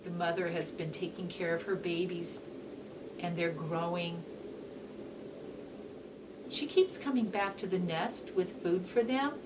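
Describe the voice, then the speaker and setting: A woman teacher giving an educational read-aloud for children, explaining as she goes with deliberate, instructive phrasing and emphasis on key words. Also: on the low side. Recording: distant mic with faint hiss.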